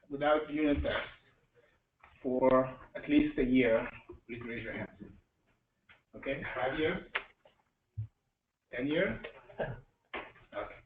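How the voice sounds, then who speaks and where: A man speaking informally as a meetup host to a live audience, joking and halting as he goes. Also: fairly high pitch, distant mic. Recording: echoey room, tinny band-limited sound, faint noise.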